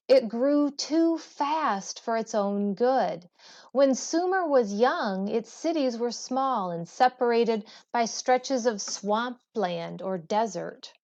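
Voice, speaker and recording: Lady teacher doing a read-aloud, kinda high voice, really slow n deliberate phrase by phrase, bit muffled lo-fi audio. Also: darkish tone. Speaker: teacher reading a textbook aloud. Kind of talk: read-aloud educational narration. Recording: dull, lo-fi sound.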